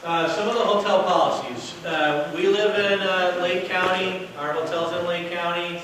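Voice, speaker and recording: A man giving a loud, casual workshop talk to an audience as a hotel sales director, with no microphone. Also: high voice, steady tone, moderate pace. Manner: casual, chatty, with fillers. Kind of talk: workshop presentation to a live audience. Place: meeting room with an audience. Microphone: distant camera, no microphone. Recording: very echoey room from far off with noise.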